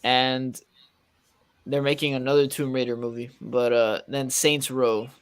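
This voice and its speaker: Male podcast co-host, medium pitch, casual and informative delivery. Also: little pitch movement, a little slow, clear, resonant tone.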